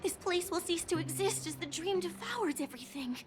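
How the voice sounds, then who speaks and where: A woman's voice: very high-pitched, animated, a little fast, background noise. A woman voicing an earnest, obsessive female researcher in a video game, with a dramatic, scholarly delivery. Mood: grave, urgent. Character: earnest, obsessive young female dreamscape researcher prophesying doom. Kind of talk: English video game voice acting. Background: loud game music.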